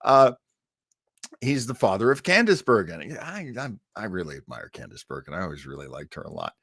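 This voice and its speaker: A man hosting a livestream in a casual, rambling, halting way, telling stories and asides with an amused manner. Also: low-pitched, very animated, a bit bright, slightly rough, dry recording, studio quality. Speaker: livestream show host. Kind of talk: casual livestream chat, storytelling.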